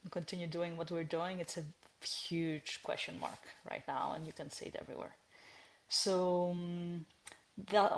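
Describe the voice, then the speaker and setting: A woman tells her story as a podcast guest, her voice sitting a little low for a woman. She talks in an animated, searching way, backing up to restart her phrases and laughing lightly now and then. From Greece. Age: 30s. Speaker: guest, entrepreneur. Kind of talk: conversational podcast interview.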